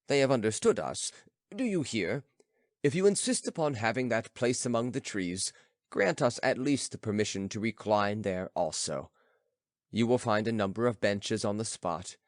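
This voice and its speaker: A man's voice: fairly low pitch, no echo, clean studio sound. A man voicing a young male student who is polite, solemn and earnest, in an audiobook story. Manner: formal, earnest.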